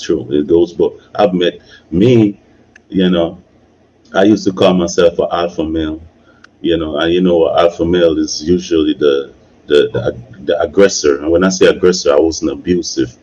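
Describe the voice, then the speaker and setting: Low, husky man in casual discussion. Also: steady tone, moderate pace, warm tone, highs cut off. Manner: emphatic repetition, frequent "you know". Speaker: video creator hosting a discussion. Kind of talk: casual live discussion, opinion and advice.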